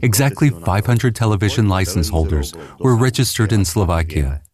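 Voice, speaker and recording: A man reading a translated voice-over in a steady, even way. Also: lowish voice. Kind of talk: scripted voice-over narration.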